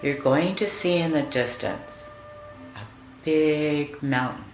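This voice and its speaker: A woman leading a guided meditation in a soothing, deliberate manner, leaving long pauses between phrases. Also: low-pitched. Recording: roomy echo with music behind. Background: music.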